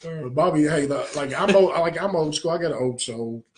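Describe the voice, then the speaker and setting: A man, hosting a casual late-night live show and talking crudely and excitedly. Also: fairly high pitch, brisk. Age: 30s. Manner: crude, excitable, reads listener confessions aloud. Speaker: podcast host.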